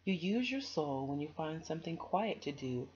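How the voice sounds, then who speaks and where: Woman reading a concerned, gently advising storybook mother. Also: low voice, big pitch swings, normal speed. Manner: gentle, instructive. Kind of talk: children's storybook character voice. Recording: dull, noisy home recording.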